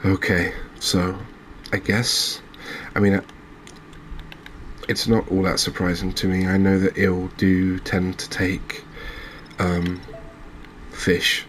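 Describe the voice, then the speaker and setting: A man giving soft-spoken, gentle, unhurried gameplay commentary in an ASMR style. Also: low voice, little pitch movement, a little hiss or hum. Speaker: host of a video game channel.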